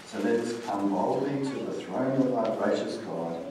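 A man's voice: fairly low pitch, lively intonation, moderate pace, mellow, slight background noise. Echoey, distant male preacher. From Australia. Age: middle-aged. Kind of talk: sermon with scripture readings. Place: church stage, indoors. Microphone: stand mic at lectern, heard from far camera. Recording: distant, very echoey church hall recording.

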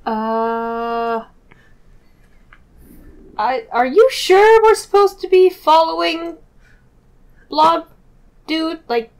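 High-voiced woman gamer, unhurried. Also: animated. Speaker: tabletop game player. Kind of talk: casual joking chat.

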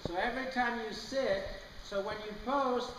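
A man's voice: very high-pitched, normal speed, distant mic. A man coaching a rider in a large indoor riding arena, patient and explanatory, often checking in with "okay?". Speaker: riding clinician. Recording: roomy echoey arena from afar with noise.

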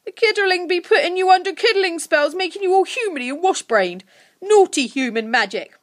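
Woman acting grumpy bossy monster, loud and snarly-tempered. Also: a little high, sing-song, measured pace. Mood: scolding, grumpy. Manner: menacing, broken-grammar creature talk. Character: Grunt, grumpy bossy male creaker monster.

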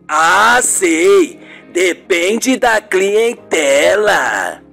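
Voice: medium pitch, very animated, extremely slow, gravelly, a bit bright, full character voice. A performer voicing a suspicious, wounded husband who nags with irony and reproach in an amateur radio soap. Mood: ironic. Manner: ironic, reproachful. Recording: music bed under the voice.

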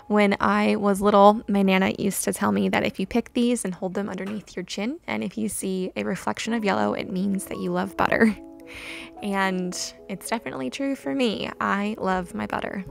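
A young woman narrating her homestead day in a calm voice-over, gentle and unhurried. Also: medium pitch, gravelly, soft background music. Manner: gentle, unhurried, with soft laughs. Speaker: homesteading vlogger. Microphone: voice-over recorded separately.

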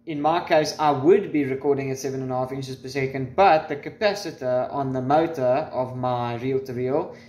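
A man's voice: on the high side, darkish tone. A man in his twenties presenting a casual, informal how-to demonstration with wry asides, recorded on a camera microphone. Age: 20s. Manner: informal, wry joking asides and mild swearing. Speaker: tutorial presenter. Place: small room at home, indoors. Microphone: camera's built-in microphone at varying distance.

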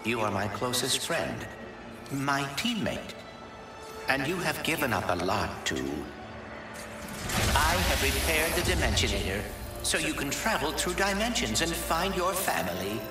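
A man's voice: mid-range voice, sing-song, measured pace, loud music behind the voice. A man voicing a small, earnest robot sidekick in a video game, speaking formally and precisely. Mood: earnest, affectionate. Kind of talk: animated video game acting.

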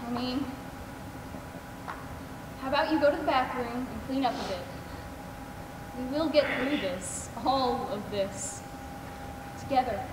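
A woman playing a gentle, devoted caregiver in a stage play, speaking earnestly. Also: high-pitched, a little slow, long pauses. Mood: tender. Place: theater stage, indoors. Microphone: picked up from far off. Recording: echoey theater, distant, noisy.

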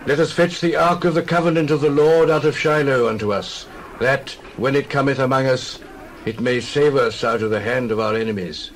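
Troubled Israelite elder , male actor: mid-pitched, earnest declamation for Bible drama, outdoor noise behind. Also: steady tone, moderate pace, close to an everyday voice, poor audio. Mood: troubled urging. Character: troubled Israelite elder urging his people.

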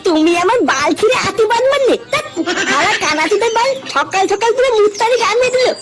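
Casting: very high-voiced, quick-talking cartoon village boy, brash, scheming, slangy, quarrelsome. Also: animated. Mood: exasperated. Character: squeaky, foul-mouthed, scheming village boys in a comedy cartoon. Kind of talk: comedy cartoon voice acting. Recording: loud music under the voice.

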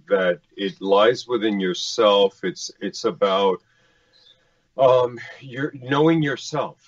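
Cuban american guy, slow and halting with lots of ums, dull lo-fi audio. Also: on the low side. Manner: halting, many fillers. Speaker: podcast co-host. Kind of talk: casual chat.

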